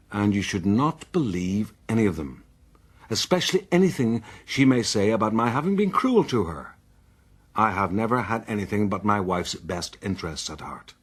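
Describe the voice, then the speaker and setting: A man playing a cold, controlling gentleman who rules wife and servants with formal commands in a period radio drama. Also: fairly low pitch, slightly rough. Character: cold, controlling gentleman husband, master of an estate.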